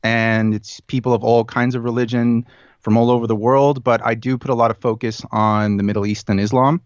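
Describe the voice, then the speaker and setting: A man in his thirties talks as a podcast guest. His speech is thoughtful and halting, with frequent ums and restarts. The recording sounds muffled and dry. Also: medium pitch, flat delivery, clear, resonant tone, dry recording. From United States and Chile. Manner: thoughtful, many fillers and restarts. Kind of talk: casual conversation.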